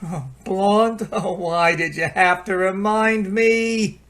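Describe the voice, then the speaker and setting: A man's voice: high voice, steady tone, slow, fairly bright tone. A man playing a flustered, henpecked repairman in an old-time radio drama, stammering and pleading theatrically. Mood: despairing.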